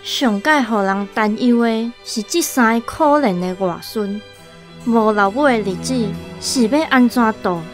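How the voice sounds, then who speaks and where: A grandmother in a Taiwanese radio drama, played by a woman, frets mournfully with a Taiwanese accent. Her voice is fairly high, over faint music. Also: a little slow, lightly acted.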